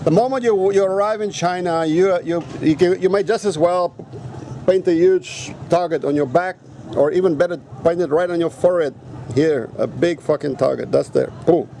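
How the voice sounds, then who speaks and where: A man's voice: high voice, normal speed, vocal fry, dark tone, slight background noise. A native Afrikaans-speaking man vlogging outdoors in a casual, rambling and blunt way, swearing now and then. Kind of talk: casual rambling vlog talk.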